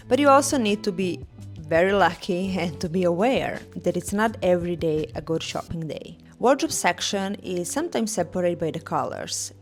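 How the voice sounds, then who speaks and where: A young woman speaking English as a non-native speaker, narrating a vlog voice-over of a store walkthrough with small grammar slips and fillers. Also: on the low side. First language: not English; Swedish is her third language. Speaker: vlogger. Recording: voice-over with faint music behind.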